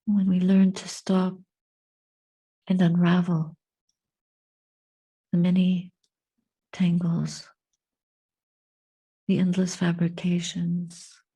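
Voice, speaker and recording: A woman leads a guided meditation in a very mellow, dark, soft voice. Her delivery is slow and contemplative, with long pauses between short, measured phrases. The tone is breathy and slightly low. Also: monotone, poor audio. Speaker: Buddhist nun and meditation teacher. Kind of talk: guided meditation and dhamma reflection.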